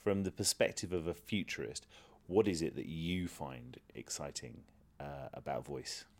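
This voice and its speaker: A man's voice: extremely low pitch, animated, moderate pace, gravelly. A man hosting a podcast, delivering scripted links and interview questions.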